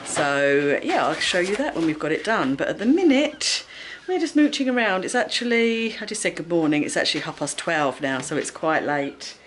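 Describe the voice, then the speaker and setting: Brief: English woman, fairly low voice, upbeat craft vlogger, faint hiss behind. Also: big pitch swings, normal speed, hardly any pauses. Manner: chatty, upbeat, lots of fillers. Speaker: vlogger, craft shop owner. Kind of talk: casual vlog chat. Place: craft studio and shops.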